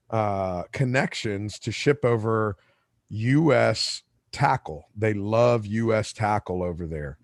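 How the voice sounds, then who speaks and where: A man's voice: lowish voice, dry recording, clean studio sound. A man whose first language is Spanish, hosting a podcast and telling a long story in a casual, rambling way with lots of asides and restarts. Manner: rambling, self-correcting, with asides. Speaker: podcast host.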